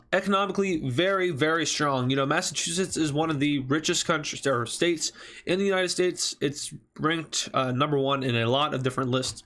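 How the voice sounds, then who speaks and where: A man with a somewhat high-pitched voice narrates in the relaxed style of an online video host. He sounds congested, as if from allergies. His delivery is conversational and informal, with the odd self-deprecating aside. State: stuffy from allergies. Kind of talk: casual commentary over a map scenario video.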